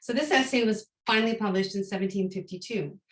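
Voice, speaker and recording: A woman delivers a university-style lecture in a slightly hoarse voice, as she is getting over a cold. She speaks thoughtfully, pausing on fillers and restarting phrases. The sound is roomy and echoey. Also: medium pitch. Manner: thoughtful, with frequent ums and restarts. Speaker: guest lecturer. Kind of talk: academic lecture.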